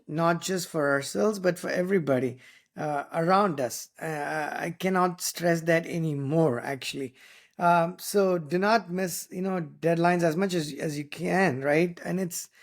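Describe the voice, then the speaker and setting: A man from India with an Indian English accent and Tamil as his first language, giving earnest solo podcast advice with many fillers, restarts and "right?" tags. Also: high voice. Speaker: podcast host.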